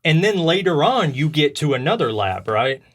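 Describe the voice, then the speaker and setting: A man's voice: a little high, sing-song, moderate pace, fairly bright tone. A man hosting a video game podcast, chatting casually in a rambling, slangy storytelling way. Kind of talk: casual chat about video games.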